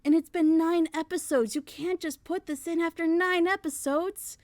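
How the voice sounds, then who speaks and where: A woman's voice: extremely high pitch, fairly even intonation, normal speed, a little mellow, no room sound. A woman reviewer speaking in a casual, opinionated way, with emphatic, rambling explanations and rhetorical questions. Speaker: reviewer on a reaction show.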